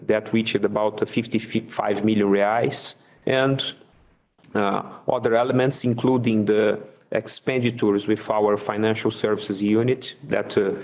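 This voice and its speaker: Lowish-voiced man on a dull call line. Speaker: finance executive. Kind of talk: explaining financial results on an earnings call. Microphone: conference call line. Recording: muffled conference call audio.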